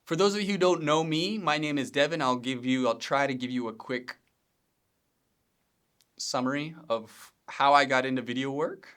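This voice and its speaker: A man in his thirties from Pennsylvania talks casually into the mic from his home studio, introducing his podcast. His voice is smooth and resonant, sitting at an ordinary middle pitch. He wanders from point to point, filling gaps with ums and correcting himself mid-sentence. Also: normal speed. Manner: relaxed, rambling, frequent ums and self-corrections, occasional laughs. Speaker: podcast host, video editor.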